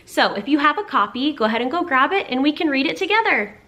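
A woman in her thirties reading to children as a story time host, with expressive, clearly phrased delivery. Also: high voice, fast talker, lo-fi sound. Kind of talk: children's picture book read-aloud.

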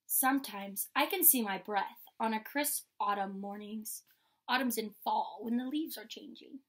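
A young adult woman reading a picture book aloud like a teacher, in a measured, instructional way. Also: medium pitch, a bit bright. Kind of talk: picture-book read-aloud with short explanations. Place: indoor room with painted mural wall.

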